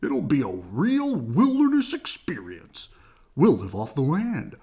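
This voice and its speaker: A hearty storybook papa bear, put on by a reader, full of himself and easily excited. He talks in grand, unhurried phrases with big swoops in pitch. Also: full character voice. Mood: dreamily excited. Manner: grand, enthusiastic, drawn-out dreamy descriptions.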